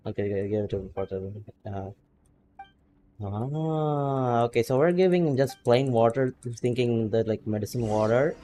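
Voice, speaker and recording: A man's voice: mid-range voice, animated, moderate pace, dark tone, a little hiss or hum. A young man casually commenting while playing a game and reading its text aloud, rambling and playful. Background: game music.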